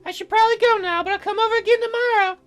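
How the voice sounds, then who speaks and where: A woman's voice: very high-pitched, steady tone, racing, fairly bright tone, faint music behind, voice pushed up. A woman voicing a playful, teasing young fairy girl in a visual novel, with a girlish, sing-song delivery. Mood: fond. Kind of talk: visual novel character read in a let's play.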